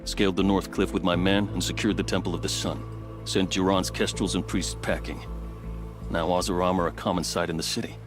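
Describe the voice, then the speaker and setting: A man as a low-voiced, steady veteran captain. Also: a little fast, close to an everyday voice. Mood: wry, proud. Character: steady male fort captain, veteran soldier, loyal and wry. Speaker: fort captain quest giver. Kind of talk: video game dialogue acting. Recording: game soundtrack music behind. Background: music.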